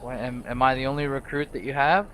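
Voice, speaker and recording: A man's voice: medium pitch, steady tone, quick pace, a bit bright, a little hiss or hum, lightly acted. A man voicing a polite, hesitant young female mage recruit in a video game role play, tentative and deferential. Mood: puzzled, uneasy.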